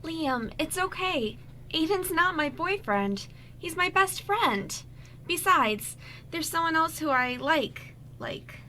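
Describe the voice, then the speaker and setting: A woman voicing a sweet, gentle teenage girl in an amateur radio drama, speaking deliberately. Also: very high voice, expressive, pitched above the performer's own voice, full character voice. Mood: reassuring. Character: sweet, gentle teenage girl reading her written notes. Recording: light background noise and hum.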